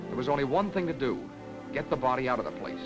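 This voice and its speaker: An actor playing a grave man confessing a cover-up in measured, sombre 1940s film-noir narration. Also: medium pitch, sing-song, over music, close to an everyday voice, poor audio. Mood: sombre, confessional. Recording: old film soundtrack with loud score.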